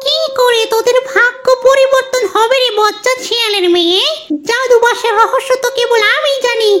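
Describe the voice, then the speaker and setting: A wicked, greedy witch from a fairy-tale cartoon. The voice is extremely high, with theatrical scolding and exclamations, full of spite. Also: moderate pace. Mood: threatening. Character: wicked female witch, greedy for power, vengeful.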